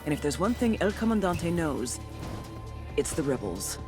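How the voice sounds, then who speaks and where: Female intel agent, sardonic, hard-boiled, animated pitch, scripted game narration, background music. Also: moderate pace. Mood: wry, cool. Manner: wry, foul-mouthed, hard-boiled. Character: tough, sardonic female intelligence agent briefing on cartel bosses. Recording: music behind the voice.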